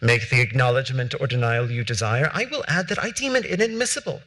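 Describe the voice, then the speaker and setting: A young man playing a proud, articulate founding statesman, reading period letters with measured, emphatic delivery on a theater stage. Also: medium pitch, lively intonation, fairly bright tone. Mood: defiant. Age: young adult. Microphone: headset mic.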